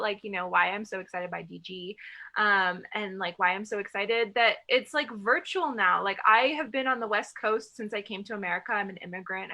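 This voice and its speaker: A woman's voice: medium pitch, sharp tone. A woman from Lebanon who grew up in Dubai, introducing herself casually on a group video call in a chatty way full of "like". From Lebanese, grew up in Dubai, UAE. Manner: informal, chatty, frequent "like". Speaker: new staff member of a theater organization, director.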